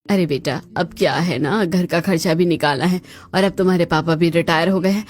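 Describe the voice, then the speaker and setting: A woman playing a grasping, complaining older mother-in-law in a cartoon family drama, with a sarcastic, drawn-out delivery. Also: on the low side, fairly even intonation, lightly acted. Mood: coaxing, grasping.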